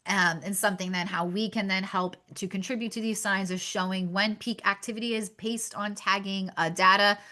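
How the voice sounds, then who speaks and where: Young woman, from Pennsylvania, bright crisp tone, webinar talk, earnest, stammered restarts. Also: medium pitch, steady tone, moderate pace, hardly any pauses. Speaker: shark researcher presenting. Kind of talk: webinar presentation.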